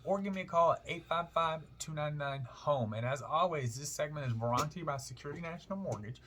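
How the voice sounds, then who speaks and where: A man's voice: on the high side, a little fast, fairly bright tone, a little hiss or hum. A man co-hosting a casual radio show about money, upbeat and joking. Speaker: radio show co-host, loan officer.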